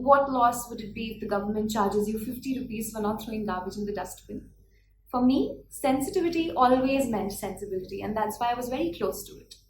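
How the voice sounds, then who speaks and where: A woman of twenty with an Indian accent, delivering a prepared, earnest speech full of rhetorical questions. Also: a little high. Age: 20s. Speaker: speaker. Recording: echoey room, faint background noise.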